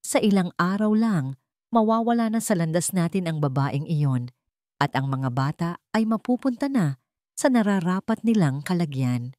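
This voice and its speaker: A woman's voice: fairly low pitch, lively intonation, normal speed, darkish tone, dry recording, studio quality, natural voice. A woman voicing a scheming young villainess in an audio drama, ingratiating and theatrical. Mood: triumphant.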